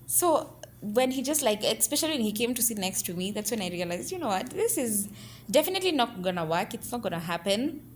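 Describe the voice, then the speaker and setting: Animated young woman storyteller. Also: on the high side, brisk, a little hiss or hum. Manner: lively, dramatic, mixes in Swahili words. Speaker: storyteller guest clip.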